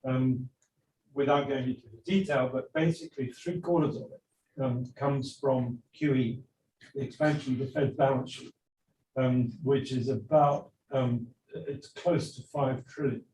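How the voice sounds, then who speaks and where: A measured man lays out numbers step by step, his voice heard from far off in a reverberant conference hall. He speaks at a mid pitch, with a light ambient hum behind him. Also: steady tone, darkish tone. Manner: measured, precise, explanatory. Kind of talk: explaining monetary data.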